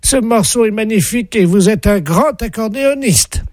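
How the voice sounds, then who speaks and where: A man's voice: sits high, expressive, normal speed, darkish tone, no room sound, clean studio sound. A man on a prank phone call, gushing with overly effusive praise. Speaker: prank caller.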